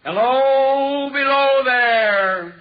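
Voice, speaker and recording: A man's voice: very high voice, full character voice. An actor playing an eager man calling down from far above, in 1940s radio drama style. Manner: drawn-out calling shout. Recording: muffled vintage 1940s radio recording.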